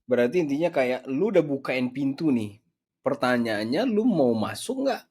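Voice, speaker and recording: Brief: man from Sumatra, podcast host, mid pitch, agreeable and jokey. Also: big pitch swings, normal speed, a little mellow, breathy edge. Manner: frequent agreeing backchannels, jokey, laughs easily. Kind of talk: casual podcast chat.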